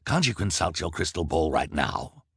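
A man's voice: extremely low pitch, big pitch swings, normal speed, gravelly, slightly rough, no room sound, studio quality, voice pushed up, heavily acted. A man voicing a greedy, scheming villain who speaks in a smug, cold way.

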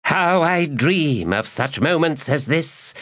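A male narrator voicing a scheming, lecherous mage who mutters his plots aloud, high and excitable, in an audiobook. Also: takes it slowly, full character voice. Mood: gleeful. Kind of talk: audiobook character voice.

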